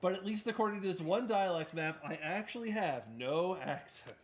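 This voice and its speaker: A man's voice: sits high, normal speed, a crowd in the background. A man co-hosting a charity gaming stream, telling a long story in an easy, explaining way. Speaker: marathon co-host. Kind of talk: casual chat, telling a story.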